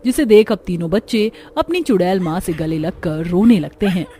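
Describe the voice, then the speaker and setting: A woman's voice: on the low side, lively intonation, moderate pace, warm tone, a little hiss or hum. A woman narrating a cartoon story in a dramatic scripted style.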